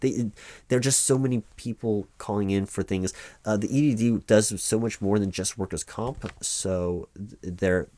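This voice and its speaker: A man's voice: sits low, a little mellow, dry recording, close to an everyday voice. A man playing a reassuring, rambling office helper who talks through paperwork in a spoken-aloud ASMR roleplay. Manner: rambling, hesitant asides with stammered repeats, reassuring. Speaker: roleplay performer playing a workers' compensation claims helper. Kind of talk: loud-spoken ASMR roleplay, reading forms aloud with ad-libbed asides.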